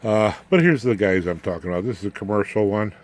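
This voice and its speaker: A man's voice: lowish voice, lively intonation, quick pace. A man giving a casual, off-the-cuff technical demonstration as a hobby electronics host, rambling along in a folksy way with jokey asides. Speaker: amateur radio electronics video host.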